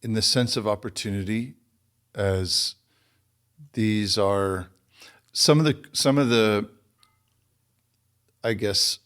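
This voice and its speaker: A man's voice: on the low side, slow pace, long pauses, a bit bright, clean tone. A man hosting an interview, asking long questions while searching for words. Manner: hesitant, searching for words with ums. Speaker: podcast host.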